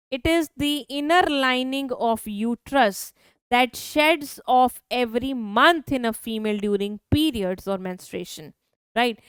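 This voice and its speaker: A biology teacher with an Indian English accent, her high voice crisp and clear. She poses a question to her students and answers it herself in a steady lecturing flow. The sound is close and dry, with no room around it. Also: no room sound. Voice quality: high-pitched voice. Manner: asks questions then answers them herself. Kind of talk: online exam-prep lecture.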